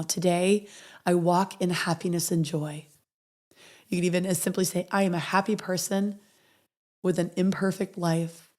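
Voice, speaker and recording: A woman hosting a motivational podcast, her voice raspy and hoarse as she recovers from being sick. She talks earnestly and reads affirmations softly and slowly. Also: fairly low pitch. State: hoarse from recovering after illness. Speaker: podcast host.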